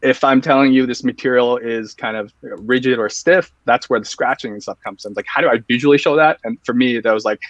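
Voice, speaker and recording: A man's voice: mid-range voice, brisk, few pauses, no room sound. A man answers interview questions in an earnest, explanatory way, laying out his method step by step. His voice sits in the middle range. The audio is muffled and dry, like a remote call. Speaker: guest, video reviewer.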